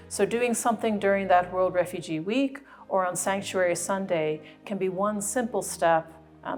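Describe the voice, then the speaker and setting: Calm explanatory woman, lowish voice. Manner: calm, explanatory, with frequent "uh" fillers. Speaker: church representative. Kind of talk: documentary interview.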